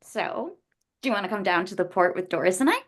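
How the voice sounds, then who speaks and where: A woman's voice: mid-range voice, lively intonation, fast talker. A woman acting a defiant, flippant yet heartfelt young angel in a blunt, informal table-read style. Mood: chatty, exasperated.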